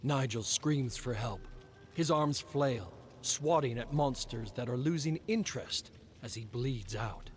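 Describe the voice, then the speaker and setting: Slow, deliberate male lore reader. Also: medium pitch, slight background noise. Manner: slow, deliberate storytelling delivery. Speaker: streamer. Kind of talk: dramatic reading of game lore. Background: clear background music.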